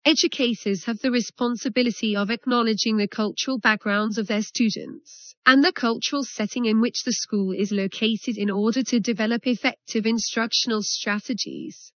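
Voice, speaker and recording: A woman's voice: on the high side, dry recording. A woman reading academic passages aloud as scripted text. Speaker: reader of English test practice passages. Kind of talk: read-aloud of academic texts. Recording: dry but dull lo-fi audio.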